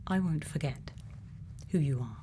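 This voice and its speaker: A woman doing an ASMR roleplay as a gentle, caring scientist, speaking softly and whispering in a hushed, deliberate manner close to the mic. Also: deep voice, animated, background noise. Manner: slow, hushed, deliberate, with thoughtful pauses. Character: gentle, caring female scientist tending a robot. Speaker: ASMR creator.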